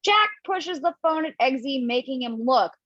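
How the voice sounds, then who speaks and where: A woman's voice: high voice, expressive, a bit bright, dry recording. Woman narrating stage directions, dry dull mic. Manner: brisk, laughs at her own slips. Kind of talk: amateur table read over video call.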